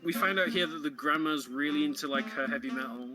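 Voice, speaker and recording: A man with a slightly high voice provides casual co-commentary on a game. He explains things conversationally while game music plays clearly behind him. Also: fast talker. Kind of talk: casual live gaming commentary.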